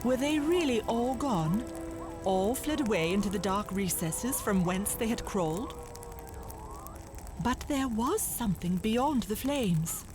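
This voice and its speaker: A woman giving measured, suspenseful storybook narration in an audio drama. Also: on the high side, animated. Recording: music behind the narration.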